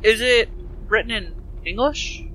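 Guy playing a detective in an rpg, really sharp bright voice, asks questions, faint noise behind. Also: sits high, a little slow, rough recording. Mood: puzzled, questioning. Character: detective Carrie, a woman, practical and questioning. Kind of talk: improvised tabletop role-play.

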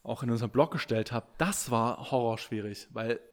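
Man co-host, mid pitch, rambly and filler-heavy, talking into a podcast mic. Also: moderate pace. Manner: rambling, many fillers and restarts. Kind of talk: casual chat. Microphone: podcast microphone.

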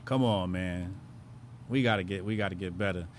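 A man hosting a call-in show, bantering loudly with callers, laughing and swearing in slang. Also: deep voice, normal speed, heavy background noise.

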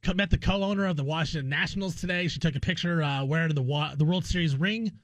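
A man's voice: a little high, quick pace, dry recording. A man hosting a sports talk show, chatting casually with joking, self-deprecating asides. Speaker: sports radio host.

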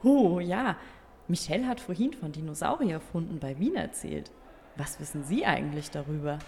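A woman's voice: sits low, expressive, normal speed, darkish tone. A woman playing an interested, encouraging companion on a museum visit in an audio play for young listeners. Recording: echoing hall, faint noise.

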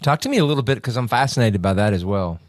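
A man's voice: on the low side, lively intonation, fast talker, no room sound, clean studio sound. A man hosting an interview, asking curious, friendly questions. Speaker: podcast host. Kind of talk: conversational interview.

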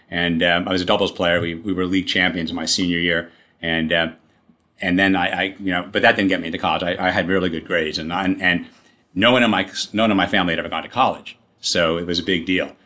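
Deep-voiced New man in his 60s. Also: steady tone, sharp tone. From New York, USA. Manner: halting, many restarts, wry humor. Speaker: guest, company CEO. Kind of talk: casual interview talk.

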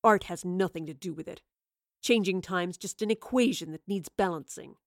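A woman voicing a coldly scornful, arrogant male villain in a low, hushed, menacing voice for an audiobook. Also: no echo, studio quality, natural voice. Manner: slow, menacing, contemptuous.

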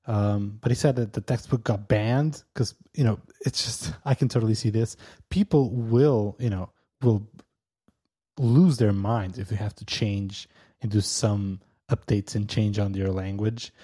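Mellow-voiced man, halting. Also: lowish voice, breathy edge. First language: Portuguese. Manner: hesitant, full of fillers, chuckles often. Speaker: podcast co-host. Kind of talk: casual podcast chat.

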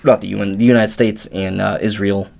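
A man's voice: lowish voice, little pitch movement, brisk, creaky, a little mellow. A man co-hosting a podcast, explaining history in long, detailed stretches and reading passages aloud from a book. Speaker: podcast co-host.

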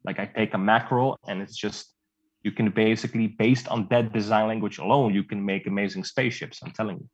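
A man's voice: on the low side, steady tone, brisk, slightly rough, highs cut off. A man from the Netherlands, a guest concept artist, talking casually in an interview, rambling with lots of fillers and restarts.

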